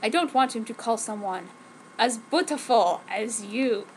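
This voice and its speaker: A woman voicing a sweet, protective teenage boy in love, speaking softly and earnestly in a fanfiction reading. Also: a little high, lively intonation, measured pace, slight background noise, poor audio. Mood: tender, protective. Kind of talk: mock-dramatic fanfiction reading.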